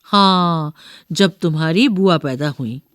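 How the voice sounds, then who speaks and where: A woman's voice: deep voice, dry recording, studio quality. A woman voicing a rambling, doting old grandmother who keeps correcting herself in a storybook reading. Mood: reminiscing, muddled. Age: older. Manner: slow, rambling, self-correcting.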